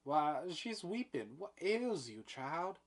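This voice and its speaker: A man's voice: mid-range voice, moderate pace, lightly acted. A man reading a reserved farmer's wife. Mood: concerned.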